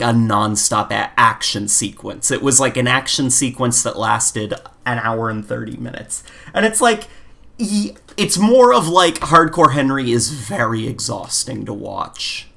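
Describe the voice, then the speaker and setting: A man's voice: medium pitch, creaky, fairly bright tone. A man co-hosting a casual chat podcast, talking in a rambling way and peppering his speech with "like". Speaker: podcast co-host. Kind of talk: casual chat about games and films.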